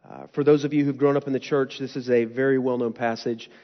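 A man's voice: medium pitch, steady tone, quick pace. A young male pastor preaching with a stuffy, nasal voice from a lingering cold. He talks to the congregation in an earnest, casual way. The sound is a little muffled, with a long reverb trailing through the hall. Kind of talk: sermon. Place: church hall.

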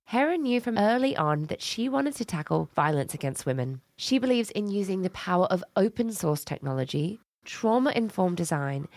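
A woman's voice: mid-range voice, gravelly, a little mellow, no echo. A woman hosting a podcast, reading a scripted introduction and asking interview questions with enthusiasm. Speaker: podcast host.

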